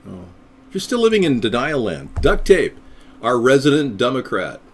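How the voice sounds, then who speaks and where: Animated, sardonic man rambling on a live stream. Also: medium pitch, normal speed, slightly rough. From United States. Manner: rambling, sardonic, slips into silly voices. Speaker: third-party candidate hosting a live stream.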